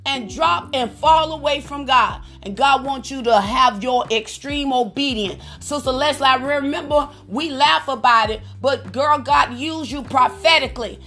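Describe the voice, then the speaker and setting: A woman minister preaching fervently on a prayer call, with a slightly raspy voice and frequent bursts of praise. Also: sits high, a little slow, few pauses, pushed and bright, soft background music. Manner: fervent, repeats praise phrases.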